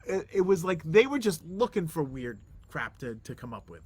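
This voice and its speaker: A man's voice: on the high side, very animated, normal speed, a little mellow, breathy edge. A man co-hosting a casual podcast with his wife, dry and teasing in manner. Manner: dry, teasing, reads lyrics aloud. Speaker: podcast co-host. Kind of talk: casual chat.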